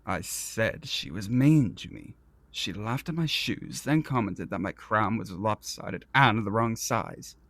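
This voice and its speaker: An actor playing an arrogant, vain and petulant prince in a fantasy audio roleplay, speaking in a pompous, theatrical and condescending manner. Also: male voice, on the low side, expressive, unhurried, fairly bright tone, no room sound. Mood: petulant, indignant. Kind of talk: scripted ASMR roleplay audio drama.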